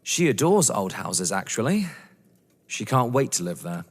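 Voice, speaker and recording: An actor playing a young English lover, earnest, polite, proud and defensive, in a theatrical audio drama. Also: male voice, on the low side, sing-song, unhurried. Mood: eager, proud. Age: young adult. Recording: echoey hall-like reverb.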